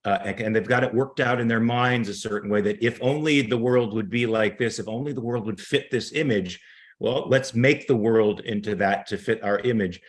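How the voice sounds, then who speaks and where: A man's voice: on the low side, moderate pace. A man hosting a panel discussion, talking conversationally and handing questions to the others.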